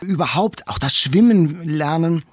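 A man's voice: on the high side, lively intonation, unhurried, slightly rough, dry recording, clean studio sound. A man, co-hosting a conversational book podcast in an enthusiastic, reflective manner. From Germany. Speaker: podcast co-host discussing books.

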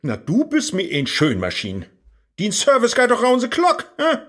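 A man's voice: very high-pitched, huge pitch swings, normal speed, a bit bright, full character voice. An actor playing a weary, grumbling old male scholar who craves youth and fun, impatient in tone, in a rhymed radio play.

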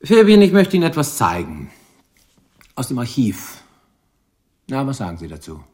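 An actor playing a persistent, dryly sardonic police lieutenant questioning suspects in a crime radio drama. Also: male voice, medium pitch, huge pitch swings, darkish tone. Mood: dry, pressing. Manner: interrogating, deliberate, dry.

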